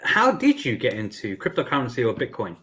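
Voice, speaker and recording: A man's voice: medium pitch, huge pitch swings, a little fast, bright, forceful tone. A man with a British accent hosting a live-streamed interview, upbeat and talking straight to the viewers. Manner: upbeat, addresses viewers directly. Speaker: host of a mortgage adviser group live stream.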